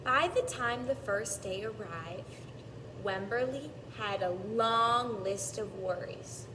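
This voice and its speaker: A woman reading a storybook aloud to preschoolers in a deliberate teacher's manner, stretching out repeated words and pausing to explain. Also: medium pitch. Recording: echoey, distant mic, clearly noisy background.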